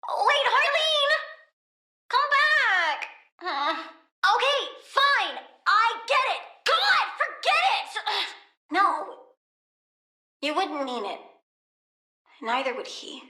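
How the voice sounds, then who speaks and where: Nosy little plant girl game character, sassy and chatty, super bright voice, bit of room echo. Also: extremely high pitch, big pitch swings, takes it slowly, long pauses, exaggerated voice. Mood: hurt, petulant. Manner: inquisitive, chatty, sassy, childlike bluntness. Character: curious, precocious young plant-powered girl, blunt, playful, needy. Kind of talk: video game character dialogue.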